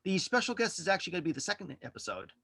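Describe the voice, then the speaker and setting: A man chatting casually as a podcast guest, halting and rambling as he corrects himself. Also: sits high, big pitch swings, pushed and bright, no echo. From Worcester, United States. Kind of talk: casual interview chat. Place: virtual studio online call.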